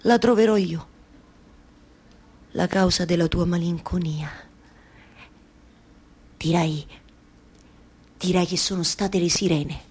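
A woman's voice: fairly low pitch, fairly even intonation, a little mellow, breathy edge. An actress with a Roman accent playing an impassioned, wistful wife in a theatrical monologue, repeating her phrases obsessively as she retells her husband's odyssey. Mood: lamenting, intense. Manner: obsessive repetition of phrases, halting then surging. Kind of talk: theatrical radio monologue with live music.

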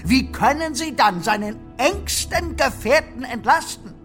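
A man acting a forceful, scornful revolutionary politician in a radio drama, emphatic and rhetorical. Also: very high-pitched, lively intonation, unhurried, soft background music, full character voice. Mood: indignant. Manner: rhetorical, emphatic, swinging from hushed to loud.

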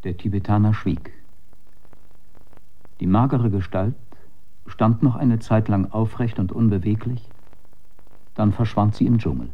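A man narrating a literary radio story in a dramatic, measured and suspenseful way, letting phrase endings drop to a hush. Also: fairly low pitch. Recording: slightly dull radio recording.

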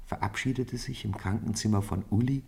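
A man narrates a literary radio play. His voice is deep and raspy, with plenty of creak as he tells the scripted story. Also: steady tone, brisk, darkish tone.

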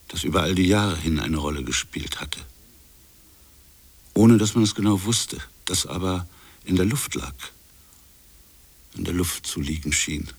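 An actor playing a brooding French colonial official in a radio drama, musing haltingly over uncertain memories in a gravelly, husky voice. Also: male voice, low voice, no room sound, exaggerated voice. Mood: brooding, hesitant. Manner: halting, musing, fragmented phrases. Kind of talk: introspective radio drama monologue.

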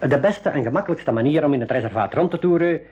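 An actor playing an affable, helpful game warden and guide in a school radio drama, speaking in a measured, explanatory way. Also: male voice, a little high, animated, a little mellow, husky, highs cut off.

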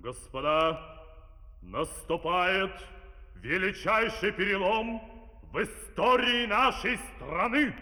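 An actor playing a pompous dictator in a comic fairy-tale opera, declaiming theatrically on an old vinyl record. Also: male voice, sits high, lively intonation, slow pace, sharp tone, exaggerated voice. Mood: grandiose. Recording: 1971 vinyl record, reverberant hall, music.

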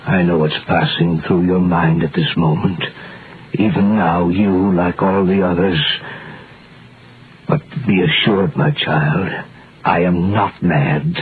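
Slow, deep-voiced dying old priest. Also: male voice, steady tone, slightly rough, heavily acted. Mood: earnest, insistent. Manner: grave, halting, solemn delivery. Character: dying old scholarly priest prisoner, gentle and earnest. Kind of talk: 1930s-40s radio drama acting. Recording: muffled, noisy vintage radio recording.

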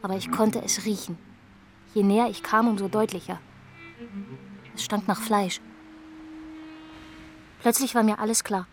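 A woman's voice: mid-range voice, steady tone, a little slow, long pauses, soft background music, close to an everyday voice. A woman acting a troubled young woman, terse confessor. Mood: tense, subdued, then distressed. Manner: terse, fragmented, matter-of-fact sentences. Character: troubled young woman recounting her aimless, desperate night drive. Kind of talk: interview-like confessional monologue in a radio drama.

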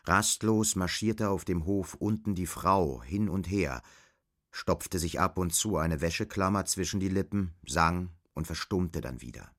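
Male narrator for radio play, low-pitched voice, literary scripted delivery. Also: unhurried, fairly bright tone.

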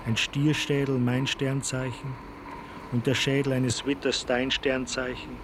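Male reader for radio-play verse: solemn, declamatory, very even intonation, clear outdoor noise behind. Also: mid-range voice, measured pace. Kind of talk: poetic radio drama recitation.

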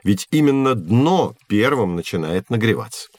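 Low-voiced, patient science teacher. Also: male voice, very animated, dry recording. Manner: measured, explanatory, articulate. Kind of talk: scripted educational audio play.

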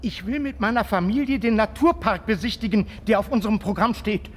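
A man's voice: high voice, a little fast, a little hiss or hum, natural voice. An actor playing an insistent, middle-aged husband and father who stammers when flustered, in a German science-fiction radio drama. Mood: indignant.

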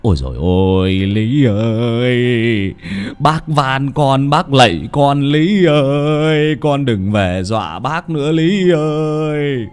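A man's voice: medium pitch, slow pace, smooth, pitched above the performer's own voice, lightly acted. A man voicing a frightened old hunchbacked man who begs and stammers. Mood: pleading. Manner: stammering, pleading.

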